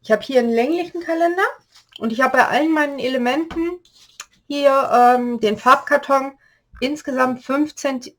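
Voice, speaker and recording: A woman with a slight cold-hoarse voice, chatting through a step-by-step paper-craft tutorial and explaining the measurements as she works. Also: on the high side. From Germany.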